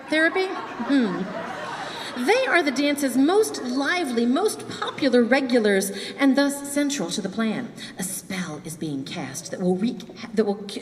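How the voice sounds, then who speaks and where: Female stage narrator for an amateur fairy tale play. Animated, theatrical delivery, long hall reverb. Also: fairly high pitch. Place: large indoor hall at a dance event. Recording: echoey hall, camcorder from the audience, music.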